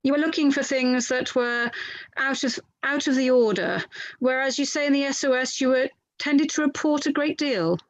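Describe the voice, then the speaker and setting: A woman reads a polite lawyer questioning a witness at a formal inquiry. The voice is on the high side and recorded very dry. The delivery is methodical and slightly halting, like reading from a transcript. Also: no echo, low-quality recording.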